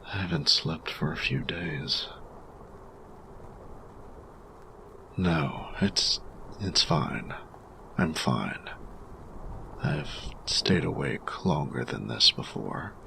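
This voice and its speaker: Guy doing a grumpy tired inventor for audio roleplay, super low gravelly voice, stiff formal wording, slow n careful. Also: animated, pushed and bright, slight background noise, full character voice. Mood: weary, awkward. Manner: formal, stilted, precise diction with hesitant pauses. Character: grumpy, workaholic male artificer, formal, tired and reserved. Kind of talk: audio roleplay addressed to the listener.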